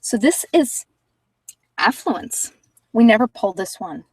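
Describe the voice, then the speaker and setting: A woman's voice: a little high, very animated, slow pace, slightly rough, no room sound, recorded from a distance, low-quality recording. A woman giving casual live tarot readings to viewers, talking informally and thinking aloud as she goes. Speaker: tarot reader and channel host.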